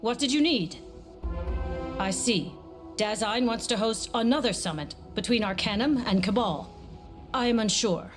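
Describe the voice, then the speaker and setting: A reserved scholar for a game cutscene. Her voice is a little high, formal and precise, with faint music underneath. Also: lively intonation, unhurried, long pauses. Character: reserved, skeptical scholarly leader. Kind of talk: scripted video game cutscene acting.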